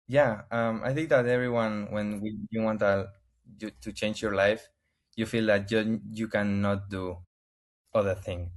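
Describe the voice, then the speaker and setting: A man from Argentina with an Argentine Spanish accent, a native Spanish speaker, answering interview questions in halting English full of restarts and searches for words over a video call. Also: fairly low pitch, steady tone. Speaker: interview guest.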